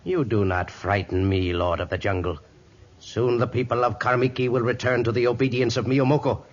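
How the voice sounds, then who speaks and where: Man acting a menacing, fanatical jungle high priest, muffled. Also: steady tone, brisk, lightly acted. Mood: defiant, menacing. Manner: menacing, formal, pronouncing. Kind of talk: 1950s radio drama acting. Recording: muffled old radio recording.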